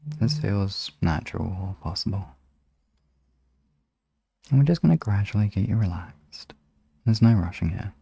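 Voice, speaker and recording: A man hosting a live ASMR hypnosis session, guiding relaxation in a soft, soothing, gently instructing manner. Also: fairly low pitch, animated, a little slow, vocal fry, pauses often, slightly rough, dry recording.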